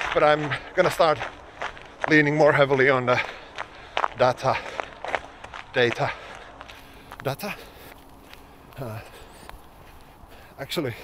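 A man in his thirties with a Finnish accent, talking casually to camera while out on a run on a snowy roadside, thinking aloud with many fillers and restarts. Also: medium pitch. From Finland. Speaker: vlogger. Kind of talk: casual vlog while out running. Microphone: small wired mic, camera held close. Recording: outdoor wind and traffic noise.

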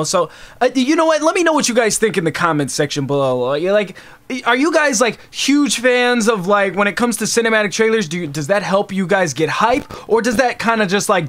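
Animated high-pitched young man reacting. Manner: animated, exclamatory, slangy. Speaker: YouTube host reacting to a game trailer. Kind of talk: casual live reaction vlog. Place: small furnished room at home, indoors.

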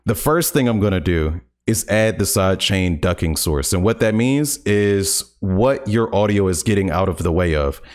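A man in his thirties with a very deep, low voice leads a relaxed streaming tutorial. He talks in an upbeat way and drops playful jokes between the steps. He records at home on a studio mic on a boom arm. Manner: upbeat, playful, joking asides. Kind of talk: casual tutorial. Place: home streaming room, indoors. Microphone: large studio condenser on boom arm.

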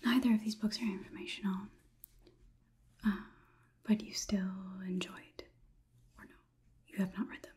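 A young woman playing a logic-minded robot overlord in an ASMR roleplay, whispering softly in a measured, detached way. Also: medium pitch, fairly even intonation, close to an everyday voice. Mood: puzzled, clinical. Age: young adult. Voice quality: soft, breathy whisper. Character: clinical, logic-minded female robot overlord examining a human. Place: small indoor room, plain wall.

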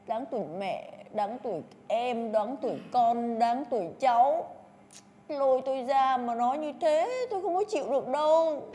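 A woman's voice: a little high, warm tone, in a large hall, lightly acted. An actress playing a distraught mother crying and pleading about her child. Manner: tearful, pleading. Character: distraught mother who livestreams, weeping over her child.

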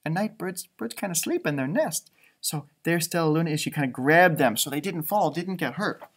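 A man in his thirties with an American accent reading a picture book to children, adding chatty, wondering asides in an expressive way. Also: on the high side, moderate pace. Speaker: storybook reader for children. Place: indoors, a library with bookshelves.

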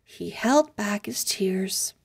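A woman hosts and narrates a children's read-aloud. She goes slowly and deliberately, with expressive rises and falls. Her tone is soft-edged and slightly husky. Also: medium pitch.

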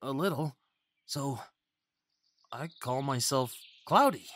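A man's voice: medium pitch, expressive, slow, fairly bright tone. A young man acting a timid, eager, naive male faun who stammers and pleads sweetly in an ASMR fantasy roleplay. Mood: shy, friendly. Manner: stammering, hesitant, childlike eagerness.

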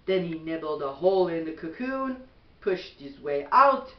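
A woman's voice: fairly low pitch, sing-song, moderate pace. A middle-aged mother reading a children's storybook aloud at bedtime, recorded on a camera across a bedroom. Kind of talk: slow storybook read-aloud. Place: small bedroom at home, indoors. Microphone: camera a few feet away. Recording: dull, echoey, distant camera mic.

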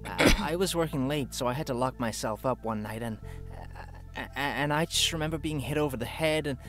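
A man's voice: mid-range voice, brisk, fairly bright tone, close to an everyday voice. An actor playing a meek, frightened male captive in a horror visual novel, hesitant and stammering. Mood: shaken, confused. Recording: music behind.

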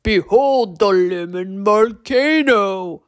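A woman voicing a mischievous male troll in a children's storybook, with a deep gravelly voice and slow, theatrical delivery. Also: close to an everyday voice. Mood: showy, instructive. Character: mischievous gruff old male forest troll.

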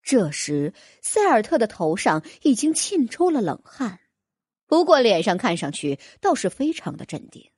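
A woman's voice: fairly high pitch, big pitch swings, moderate pace, a little mellow, slightly rough, dry recording, clean studio sound. A woman narrating a fantasy audiobook in a dramatic, scripted storytelling style.

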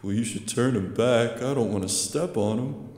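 A man's voice: medium pitch, normal speed, a little mellow, natural voice. A man playing a dim, good-natured crook's sidekick in an audio drama, with a naive, casual manner. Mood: amused. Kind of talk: audio theater acting.

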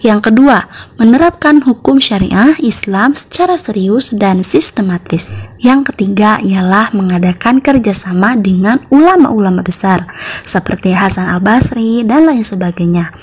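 A woman's voice: medium pitch, animated, moderate pace, husky. A young adult woman, a teacher narrating a scripted lesson for schoolchildren step by step.

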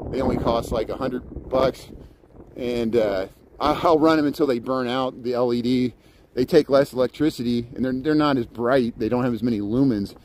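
A middle-aged man from Indiana with a Midwestern American accent, chatting casually and haltingly as he livestreams a walk outdoors. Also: mid-range voice, darkish tone. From Indiana, United States. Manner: halting, chatty, jumping between subjects. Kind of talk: casual rambling walk-along livestream chat. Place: outdoors, walking in a city park. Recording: noisy outdoor ambience with traffic.

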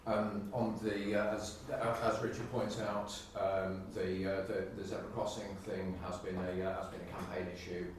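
Deep, monotone Englishman, echoey room. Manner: halting, stammered restarts. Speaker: political agent. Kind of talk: formal statement at a meeting. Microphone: distant camera microphone. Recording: echoey room, far off, faint noise.

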